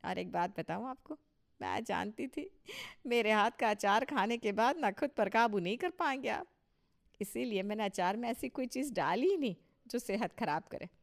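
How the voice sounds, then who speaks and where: A touchy, bossy older mother-in-law in a family cartoon, acted by a woman. Her pitch sits in the middle of the female range. She speaks with proud emphasis and a boastful, scolding manner. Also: animated, moderate pace, vocal fry. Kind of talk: Hindi cartoon story dialogue.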